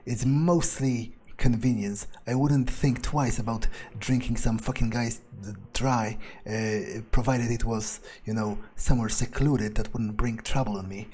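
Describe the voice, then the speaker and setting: A man's voice: mid-range voice, steady tone, unhurried, hardly any pauses, darkish tone. A man roleplaying a game character in an improvised monologue, musing hesitantly to himself and swearing freely. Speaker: gamer roleplaying his character.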